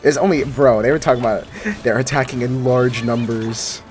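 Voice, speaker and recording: A man's voice: medium pitch, steady tone, moderate pace, noisy background. A man giving casual let's play commentary as a gaming streamer, informal, slangy and enthusiastic.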